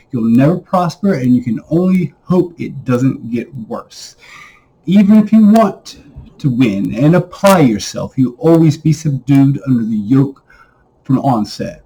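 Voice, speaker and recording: A man with a husky voice giving a solemn, declamatory recitation of an old text, phrased deliberately. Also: medium pitch, darkish tone, poor audio. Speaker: reader.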